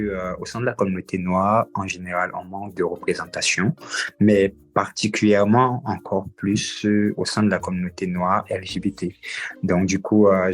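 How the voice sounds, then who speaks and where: Very low-voiced podcast co-host. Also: male voice. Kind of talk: casual podcast chat.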